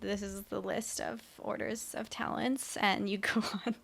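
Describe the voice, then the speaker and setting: A woman's voice: mid-range voice, little pitch movement, unhurried, creaky, fairly bright tone. A woman co-hosting a TV recap podcast in a casual, chatty style. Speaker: podcast co-host.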